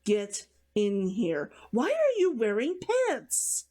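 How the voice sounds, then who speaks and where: A blunt, protective man from a fantasy novel, read by a woman. He gives orders in an exasperated, commanding way, with lively swings in pitch. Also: slow, full character voice. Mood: blunt, annoyed.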